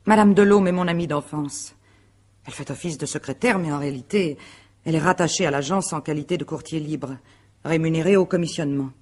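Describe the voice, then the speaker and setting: A composed, proud wife and business director in a radio mystery. Her voice is husky, and she answers questioning in articulate, formal phrases with self-assurance and dry irony. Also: low voice, fairly quick, a little mellow. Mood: guarded, dryly ironic. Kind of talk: French radio mystery drama acting.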